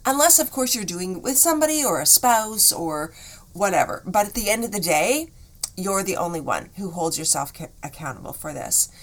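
A woman hosting a solo podcast, chatting in an encouraging way, her voice a bit rough today. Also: medium pitch, sing-song, moderate pace. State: slightly rough voice. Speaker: podcast host.

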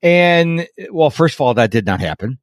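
A man's voice: mid-range voice, very animated, moderate pace, no echo, studio quality. A middle-aged man hosting a podcast interview, chatty and self-deprecating, telling personal stories. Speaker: podcast host. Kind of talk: casual interview chat.

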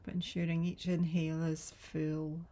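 Low, creaky, breathy woman guiding meditation. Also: level tone. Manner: soothing, gentle, unhurried with long pauses. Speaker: yoga nidra meditation guide. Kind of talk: guided relaxation meditation.

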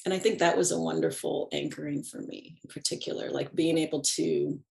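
A middle-aged woman guest in a reflective conversational interview, speaking thoughtfully and unhurriedly with soft laughs between thoughts. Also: low-pitched, steady tone, fairly quick, gravelly. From United States. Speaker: podcast guest, animist and ritualist.

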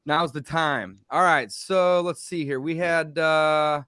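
A man's voice: a little high, takes it slowly, pushed and bright, clean tone, dry recording, clean studio sound. A man hosting a podcast, running a Q and A in an upbeat, encouraging way. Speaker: podcast host.

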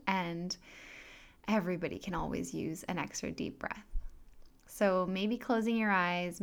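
A woman in her thirties, French but sounding American, hosting a calm wellness podcast in a soft, gentle, unhurried way. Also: fairly low pitch. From France. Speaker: podcast host and health coach. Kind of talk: calm solo podcast talk with guided breathing.

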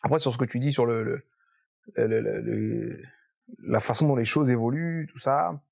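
Gravelly, husky podcast host man. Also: medium pitch, normal speed, darkish tone. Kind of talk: casual podcast interview.

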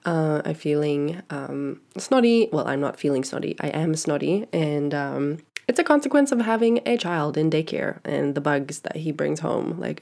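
A woman hosts a solo podcast with a stuffed-up, nasal voice pitched a little low. She talks frankly and chattily, with fillers and the odd laugh, close to the mic. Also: vocal fry, a little mellow. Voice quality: nasal from congestion. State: congested nose. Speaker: podcast host and sexuality coach.

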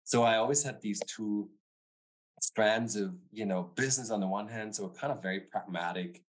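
A man's voice: fairly low pitch, moderate pace, poor audio. A middle-aged man from Germany with a German accent, a podcast guest talking thoughtfully and hedging his words over a remote call. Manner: thoughtful, hedging with "you know" and "sort of". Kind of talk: reflective interview talk.

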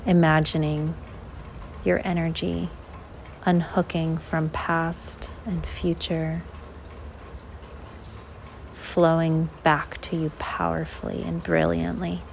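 A woman leading a guided visualization in an unhurried, measured way, leaving long pauses between short phrases. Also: deep voice, level tone, creaky, slight background noise. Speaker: guided meditation host.

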